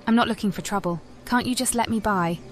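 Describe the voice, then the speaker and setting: A woman's voice: medium pitch, fast talker, close to an everyday voice. A woman voicing a composed elven sorcerer in a video game, direct and inquisitive. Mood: questioning. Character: composed female elven sorcerer hero, questioning and firm.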